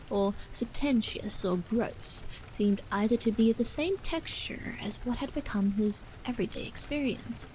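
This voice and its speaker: A woman reading a novel aloud as a bedtime ASMR story, soft and hushed, with some phrases trailing into a whisper. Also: mid-range voice, a little hiss or hum, poor audio. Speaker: storyteller, reader. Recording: steady rain sound over the voice. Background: rain.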